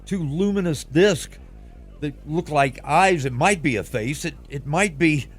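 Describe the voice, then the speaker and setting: A higher-pitched frightened male reporter in an old-time radio play. He stammers and breaks off as he describes what he sees, sounding breathless and shaken. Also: measured pace. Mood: awed horror.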